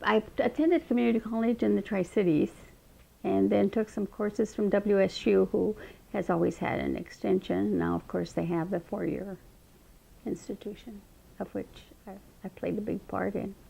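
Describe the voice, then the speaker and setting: A woman's voice: medium pitch, steady tone, creaky, dark tone, breathy edge, rough recording. An older woman, a state representative, unhurriedly recalling her childhood in an oral history interview. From Montana, raised in Eastern Washington. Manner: reflective, unhurried storytelling with frequent restarts.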